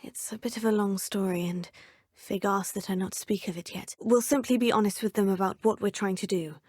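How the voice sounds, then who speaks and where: A woman voicing a poised, curious young witch student, speaking in polite, formal, measured game dialogue with a husky tone. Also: mid-range voice, steady tone, darkish tone, no echo, clean studio sound, lightly acted. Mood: composed. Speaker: player character. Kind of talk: video game voice lines.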